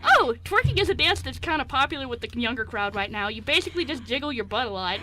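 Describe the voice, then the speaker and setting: A woman playing a cheeky, defiant eighteen-year-old queer young man in an amateur radio play, arguing in an animated way. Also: sits high, fast, slight background noise, exaggerated voice. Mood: playful. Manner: animated, argumentative.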